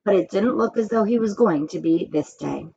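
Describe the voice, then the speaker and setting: A woman reading a children's story aloud in an expressive storytelling style. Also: medium pitch, normal speed, vocal fry. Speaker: story reader. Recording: dull, muffled, background noise.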